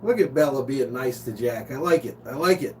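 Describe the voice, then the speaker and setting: A man's voice: mid-range voice, animated, a little fast, a little mellow. An American man from Los Angeles hosting a live sports stream, chatting casually and chummily with viewers and reading out their comments. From Los Angeles, United States. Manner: informal, chummy, reads out chat comments. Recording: distant mic, noisy hum.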